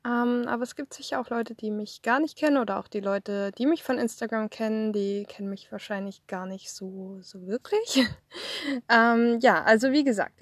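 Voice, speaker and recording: A woman's voice: medium pitch, fairly bright tone, no echo, clean studio sound. A woman in her thirties from Swabia, talking off the cuff in a personal podcast, rambling with lots of fillers, recorded in a car. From Swabia, Germany. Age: 30s. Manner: rambling, with many fillers and laughs. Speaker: podcast host. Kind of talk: unscripted personal podcast monologue.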